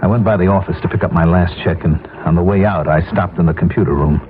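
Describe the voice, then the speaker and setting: Male actor for desperate, ashamed jobless husband, middle-aged, low register, 1970s radio drama, narrow tinny sound. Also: fast, natural voice. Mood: bitter, ashamed. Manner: confessional, tense narration and dialogue. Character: desperate, ashamed middle-aged out-of-work family man narrating his downfall. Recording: tinny 1970s radio broadcast, music behind.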